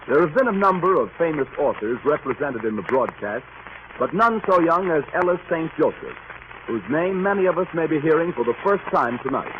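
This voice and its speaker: An American man reading scripted announcements as a 1930s radio announcer, formal and polished. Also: on the high side. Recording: scratchy, dull 1930s radio recording.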